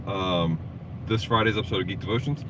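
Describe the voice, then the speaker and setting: A man's voice: fairly low pitch, a little fast. A man co-hosting a geek vlog in casual, enthusiastic chat while riding in a car. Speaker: vlog co-host. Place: inside a moving car. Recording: clear car and road noise.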